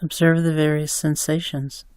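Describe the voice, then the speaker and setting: A man slowly guiding a compassion meditation, calm, with long pauses between phrases. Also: a little high, smooth, no echo. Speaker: meditation guide. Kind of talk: guided meditation.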